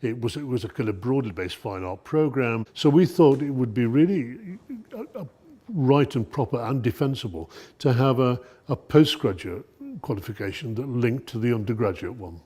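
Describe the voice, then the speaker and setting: A staff man recounts planning a degree in a halting way, often repeating words. His voice is husky and mellow. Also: mid-range voice, animated. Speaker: art school programme staff. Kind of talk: documentary interview.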